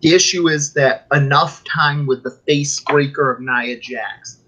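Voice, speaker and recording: A man's voice: a little high, steady tone, unhurried, pushed and bright, breathy edge. A man co-hosting a casual wrestling podcast, rambling and argumentative as he makes his case. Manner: rambling, argumentative, mimics fans in quotes. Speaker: podcast co-host. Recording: dull lo-fi audio.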